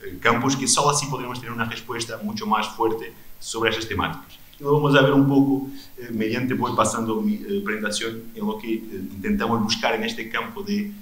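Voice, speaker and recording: A man's voice: medium pitch, fairly even intonation, fairly quick, a bit bright. Hesitant man, echoey hall. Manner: hesitant, many fillers and restarts. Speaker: keynote speaker. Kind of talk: conference talk. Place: lecture hall. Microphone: microphone.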